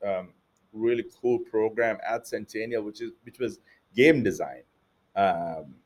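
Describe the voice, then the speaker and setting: A man's voice: mid-range voice, darkish tone. A man from Sri Lanka, an entrepreneur guest, answering interview questions casually with many fillers and restarts.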